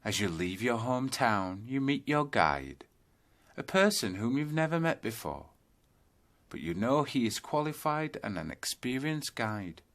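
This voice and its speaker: A man narrating a scripted guided yoga nidra meditation, telling a journey in measured second-person storytelling. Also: mid-range voice, very animated, fairly bright tone.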